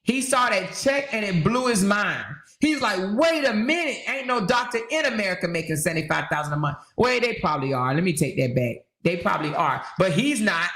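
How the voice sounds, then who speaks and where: A woman's voice: medium pitch, animated, normal speed, a bit bright, reverberant. A woman hosting a casual livestream, reading viewer comments and commenting on them emphatically, repeating her points and tossing in rhetorical questions. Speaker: livestream host.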